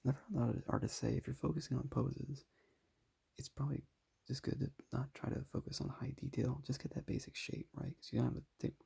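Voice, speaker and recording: A young man talks softly over his sketches in a deeply gravelly, husky voice. His tone is mellow and dark, and he rambles in a modest, self-deprecating way. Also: flat delivery, quick pace. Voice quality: raspy. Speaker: vlogger showing his sketchbook. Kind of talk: casual talking over a sketchbook tour.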